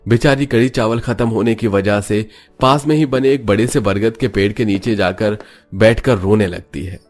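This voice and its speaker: Man, storyteller, low pitch, scripted moral-story narration, music behind. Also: normal speed. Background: background music.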